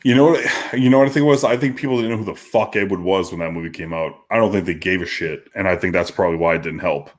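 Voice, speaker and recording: A man co-hosting a podcast in casual chat, swearing heavily and restarting his sentences. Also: low voice. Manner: heavy swearing, halting restarts. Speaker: podcast co-host.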